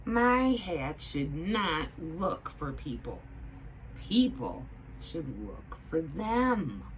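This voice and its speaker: A woman voicing a kindly, decisive man in a picture book, speaking in measured declarations. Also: low voice, sing-song, slight background noise, full character voice, poor audio. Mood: thoughtful, decisive.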